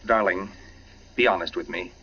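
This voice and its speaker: An actor playing a polite suburban husband with private suspicions, earnest and hesitant in 1940s radio drama style. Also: male voice, deep voice, normal speed, bright, forceful tone. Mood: uneasy, probing. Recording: muffled, hissy 1940s radio recording.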